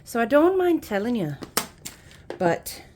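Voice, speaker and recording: A woman's voice: on the high side, very animated, a little slow, darkish tone, a little hiss or hum. A woman putting on a chatty, homely persona with a mock Irish or Scottish lilt, rambling with folksy phrases and many fillers. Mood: sad, rambling. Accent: put-on Irish or Scottish. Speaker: YouTuber. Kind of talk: casual chat.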